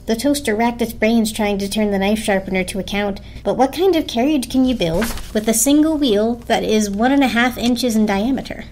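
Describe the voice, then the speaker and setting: A woman's voice: a little high, darkish tone. A woman narrating a children's story as a dramatic storybook reading. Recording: music behind the voice. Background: music.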